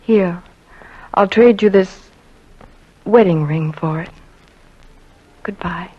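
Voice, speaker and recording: An actress playing a proud, quietly hurt small-town young wife who speaks in a composed, dignified way, in 1940s radio acting style on a lo-fi old recording. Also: female voice, lowish voice, slow, breathy edge. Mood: cool.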